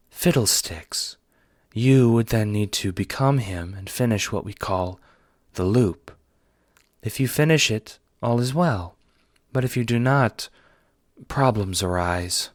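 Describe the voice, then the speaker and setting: A man voicing a blunt female alien troll time traveler in an audio drama, speaking formally and with measured care. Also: mid-range voice, no room sound, clean studio sound, natural voice. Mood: grave, explanatory. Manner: formal, measured, few contractions.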